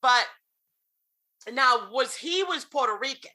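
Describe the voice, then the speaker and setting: Woman podcast host, very bright tone, teasing and chatty, quick to laugh. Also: high-pitched, animated. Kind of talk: casual chat.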